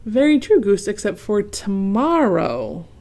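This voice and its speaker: A woman voicing an excitable young male duck in a children's storybook reading, eager and chirpy. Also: medium pitch, a little slow.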